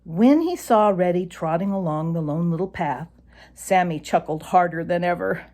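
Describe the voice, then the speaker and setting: A woman narrating a children's animal story aloud in an expressive storytelling style. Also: fairly low pitch, moderate pace.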